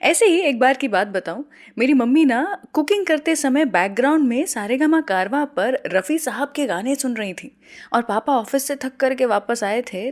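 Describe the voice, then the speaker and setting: High-voiced Indian woman presenting over music. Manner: mixes English words into Hindi. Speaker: radio show host. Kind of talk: scripted music show presenting with anecdotes.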